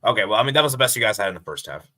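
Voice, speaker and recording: Deep, quick-talking man from Bangladesh. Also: animated, pushed and bright. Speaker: football podcast guest. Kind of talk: casual football discussion.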